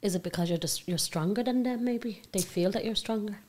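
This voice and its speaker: A woman hosting a podcast who asks short, gentle questions and adds soft "mm" sounds while her guest talks. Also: mid-range voice, a little fast. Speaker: podcast host. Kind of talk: interviewing.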